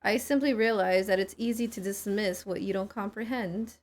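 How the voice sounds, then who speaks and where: A woman's voice: medium pitch, normal speed, lightly acted. Woman reading as a solemn, brooding young man. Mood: grave, bitter. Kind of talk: reading game cutscene dialogue aloud.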